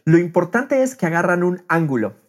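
A man's voice: sits high, very animated, a little fast. A middle-aged man in casual podcast conversation, animatedly acting out quotes and dropping English phrases into his talk. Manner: mixes English phrases into speech.

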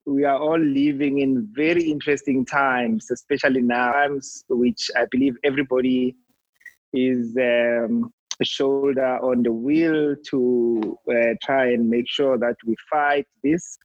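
A man from South Africa introduces himself haltingly, with frequent fillers. His audio is dull and dry, like a remote call. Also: medium pitch, fairly even intonation, no echo. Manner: hesitant, many fillers. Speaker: accountant guest.

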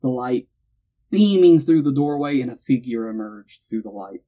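A young man hosting a casual podcast chat, retelling a story in an animated way and acting out quotes. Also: fairly high pitch, normal speed, gravelly. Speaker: podcast host telling the story.

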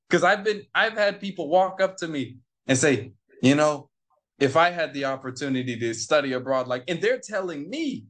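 A man's voice: on the high side, expressive, normal speed, fairly bright tone. A man telling a personal story in an interview, acting out the quoted exchanges and repeating phrases for emphasis. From United States. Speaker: interview guest. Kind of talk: conversational storytelling.

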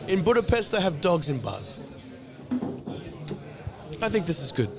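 A man's voice: high-pitched, big pitch swings, unhurried, a loud crowd. A man hosting a casual, bantering chat, teasing and joking with plenty of swearing. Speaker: podcast host.

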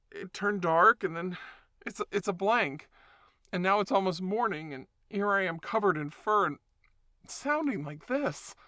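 Voice actor doing a freaked-out fresh werewolf, slow stammery talk, bright dry voice, swears a lot. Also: medium pitch, no room sound. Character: confused person waking up as a werewolf. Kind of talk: audio roleplay monologue.

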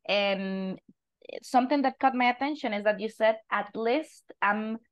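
A woman's voice: on the high side, unhurried. Spanish-accented female host, encouraging, interview tone. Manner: encouraging, asks questions. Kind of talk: casual interview.

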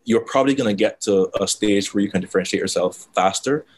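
A man from Barbados, a guest talking about building a brand in a relaxed, dry conversational way. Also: on the low side, fast. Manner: relaxed, reflective, self-described dry delivery. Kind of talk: casual interview conversation.

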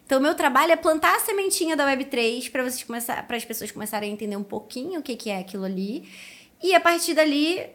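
A woman in her thirties with a Carioca Brazilian accent, telling her story casually as an interview guest, chatty and colloquial, quick to laugh. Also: high-pitched, animated, fairly bright tone. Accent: Carioca Brazilian Portuguese. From Rio de Janeiro, Brazil. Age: 30s. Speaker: podcast guest, educator and speaker.

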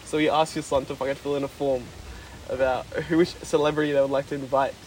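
A man's voice: mid-range voice, level tone, fast, darkish tone, a little hiss or hum, poor audio. A young man hosting a casual podcast chat, crude and joking, laughing a lot. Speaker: podcast host.

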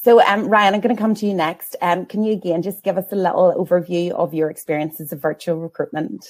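A woman's voice: fairly low pitch, steady tone, darkish tone. Woman hosting a careers webinar. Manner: friendly, brisk, polite prompting. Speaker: host, careers adviser.

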